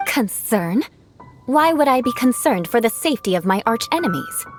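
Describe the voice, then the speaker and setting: Haughty, lively young noblewoman. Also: fairly high pitch, moderate pace. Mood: cool, prickly. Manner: haughty, formal, theatrical. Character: haughty young noblewoman swordfighter, prickly and vengeful. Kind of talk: anime game voice acting. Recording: music under the voice.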